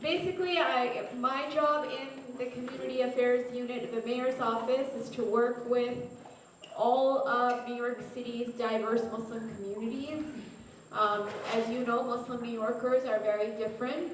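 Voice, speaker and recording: Woman, slightly high voice, friendly speaker, lots of ums, big hall echo. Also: steady tone, moderate pace. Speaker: city community affairs advisor. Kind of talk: public talk at a seminar. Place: large echoey hall. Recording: echoey hall, distant, faint noise.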